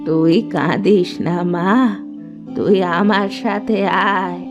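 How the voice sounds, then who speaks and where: Woman as elderly cartoon granny, caring, unhurried drawn-out speech, music behind. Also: lowish voice, steady tone. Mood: gentle concern. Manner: slow, soothing, drawn-out. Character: kindly, caring old village granny. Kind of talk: cartoon dialogue acting.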